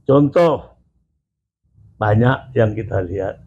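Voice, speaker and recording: A male guest giving slow, measured opinions in a casual interview. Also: fairly low pitch, a little mellow, slight background noise. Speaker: guest commentator. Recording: tinny phone-like audio.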